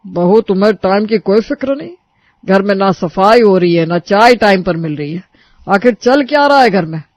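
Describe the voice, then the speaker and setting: A woman's voice: medium pitch, expressive, normal speed, no room sound, lightly acted. A woman voicing a stern older father-in-law who scolds his daughter-in-law for being late. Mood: scolding. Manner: strict, scolding.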